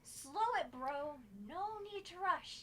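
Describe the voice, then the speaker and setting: Young woman as laid-back party god co-host, very high, slow drawl, slangy, teasing, mischievous, audio drama. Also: sharp tone, a little hiss or hum, exaggerated voice. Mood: lazy, teasing. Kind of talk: amateur audio drama acting.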